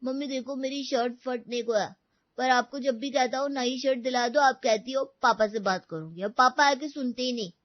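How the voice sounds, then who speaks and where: A woman's voice: high voice, normal speed, no echo, highs cut off, close to an everyday voice. A woman voicing a schoolchild who complains and pleads in a family story. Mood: resentful. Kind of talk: dramatised dialogue in an audio story.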